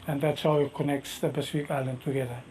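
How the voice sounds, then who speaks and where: A middle-aged man from Micronesia, whose first language is Micronesian, answering interview questions slowly and thoughtfully, with many pauses and fillers. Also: mid-range voice, level tone, a little mellow, a little hiss or hum, poor audio. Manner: slow, thoughtful, halting speech with many fillers. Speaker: master navigator and canoe captain.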